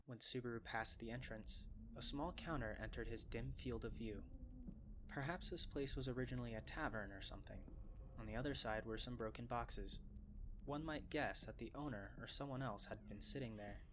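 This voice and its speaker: A male narrator reads a scripted story in a mid-range voice. His delivery is measured and descriptive, in the manner of a storyteller. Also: mid-range voice, noisy background.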